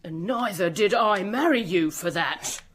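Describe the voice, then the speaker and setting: An actress playing a kind-hearted but firm middle-aged shopkeeper's wife, speaking emphatically in a radio drama. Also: female voice, medium pitch, animated, measured pace, husky. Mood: indignant, compassionate. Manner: emphatic, indignant, sentimental.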